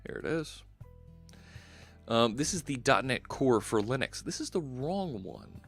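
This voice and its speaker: A man's voice: medium pitch, very animated, unhurried, vocal fry, fairly bright tone, husky, soft background music. Philly-accented man chatting on a live stream. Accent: Philadelphia. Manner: chatty, thinks aloud with many fillers. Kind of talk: casual coding live stream chat. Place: home studio, indoors. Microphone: headset.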